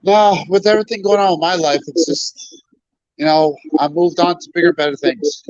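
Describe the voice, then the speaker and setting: A man chatting as a guest on a video call, halting and repeating himself with lots of fillers, a little stuffy. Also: high voice, steady tone, no room sound. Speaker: guest on stream. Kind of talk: casual chat. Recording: muffled lo-fi call audio.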